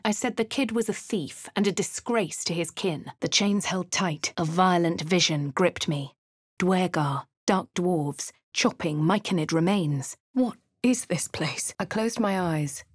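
A woman voicing a posh young female adventurer with a posh English accent in game voice lines, switching between quiet inner narration, hushed whispers and shouted spell incantations. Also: fairly low pitch, no echo, clean studio sound.

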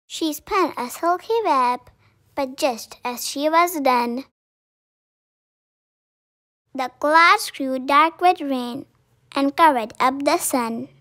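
A child reading a picture book aloud in a careful, deliberate way. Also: high-pitched, a bit bright, no echo, clean studio sound. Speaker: story reader.

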